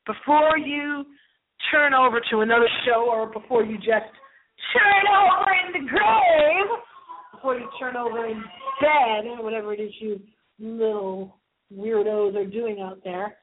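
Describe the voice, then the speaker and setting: A woman hosting a paranormal call-in internet radio show in a playful, theatrical, rambling style with drawn-out dramatic delivery. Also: a little high. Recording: lo-fi phone-like line with hiss.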